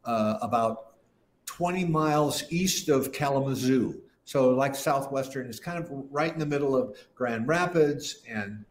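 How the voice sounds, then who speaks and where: A man's voice: mid-range voice, animated, a little slow, a little hiss or hum. An older man, a guest musician, telling long, rambling stories in an interview and often restarting his sentences. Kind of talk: casual interview storytelling. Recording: roomy echo.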